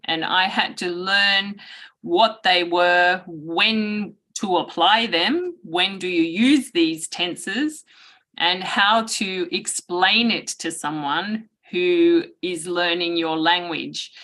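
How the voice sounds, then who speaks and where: A woman from Australia giving an online guest lecture to students, speaking in a slow, measured way and clearing her throat often. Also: fairly low pitch, bright, forceful tone.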